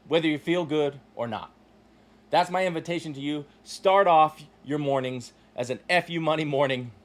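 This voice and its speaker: A man in his forties hosting his own podcast, giving a blunt, profane motivational monologue that swings between loud emphatic bursts and low asides. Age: 40s. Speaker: podcast host.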